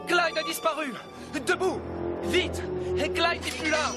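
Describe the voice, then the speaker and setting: A man's voice: extremely high pitch, steady tone, measured pace. An actor voicing an urgent, hot-tempered young boy hero in a dubbed cartoon. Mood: alarmed. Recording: cartoon soundtrack, loud music behind.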